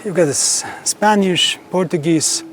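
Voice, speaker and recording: A man whose first language is Polish, a monk explaining his monastery grounds on a tour in slow, earnest English. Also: sits high, fairly bright tone, a little hiss or hum. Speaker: Carmelite monk giving a tour. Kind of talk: casual guided tour conversation.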